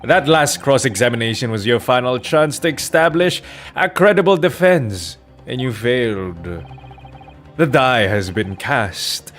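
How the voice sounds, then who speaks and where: Haughty male prosecutor for a courtroom game, acted by a man: sneering, pompous, theatrical, very animated intonation. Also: mid-range voice, faint music behind. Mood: grave contempt. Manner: pompous, drawn-out, disdainful delivery.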